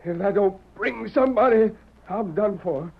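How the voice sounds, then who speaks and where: High, frantic Western trail rider. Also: male voice, normal speed, vocal fry, breathy edge, exaggerated voice. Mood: desperate, panicked. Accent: American Western. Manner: folksy, urgent, crying out. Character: frightened male rider trapped on a crumbling cliff trail. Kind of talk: old-time radio western acting. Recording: muffled old radio, loud noise, horse sounds.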